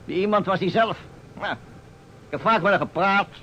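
Pompous blustering old mayor, highish voice, muffled old radio play with some hiss. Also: male voice, normal speed, bright, forceful tone. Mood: aggrieved. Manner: pompous, blustering, stammers when indignant. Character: pompous, indignant small-town mayor, an older man. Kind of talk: Dutch radio drama acting. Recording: muffled vintage radio recording with noise.

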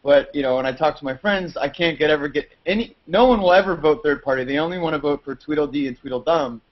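A man's voice: a little high, fast talker. A man asking a rambling, earnest question as a student on a video call. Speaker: student caller. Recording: muffled video-call audio.

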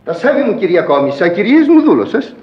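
A florid, eager ragman holds forth like a mock advocate. His theatrical delivery swings widely in pitch, in a dull, echoey old radio-play recording. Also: sing-song, over music. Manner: grandiloquent, theatrical.

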